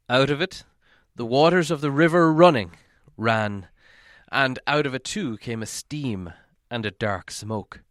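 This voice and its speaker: A man's voice: fairly low pitch, fairly bright tone, smooth, no room sound, studio quality. A man narrating a fantasy novel aloud as an audiobook reader. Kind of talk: storybook narration, read aloud.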